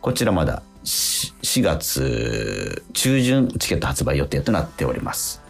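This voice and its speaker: Very low, husky man hosting radio. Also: very animated, faint music behind. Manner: halting, self-interrupting, repeats affirmations. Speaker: radio show host and stage actor. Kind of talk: casual radio chat with announcements.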